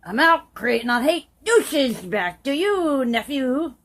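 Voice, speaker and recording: A woman's voice: high-pitched, sing-song, slow, fairly bright tone, slight background noise, full character voice. A woman playing a slurring, foul-mouthed drunk aunt. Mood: mocking. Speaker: sex health news reporter. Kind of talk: crude comedy news bit.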